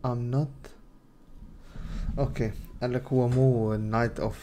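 A man's voice: mid-range voice, flat delivery, slow. A young adult man with a Levantine Arabic accent, casually commenting as he plays a game and relaying its dialogue. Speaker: gaming streamer. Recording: game audio and noise behind voice. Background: video game sounds.

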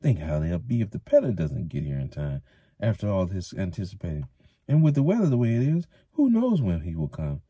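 A man's voice: sits low, big pitch swings, fairly quick, dark tone, slightly rough, no echo, exaggerated voice. A man doing a hearty, stout village mayor. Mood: earnest. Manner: hearty, knowing. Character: stout jovial middle-aged male mayor and innkeeper.

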